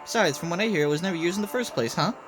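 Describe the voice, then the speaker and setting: A man's voice: high voice, rapid-fire, music in the background, natural voice. A man voicing an easygoing young game hero in a casual, cheeky, friendly way.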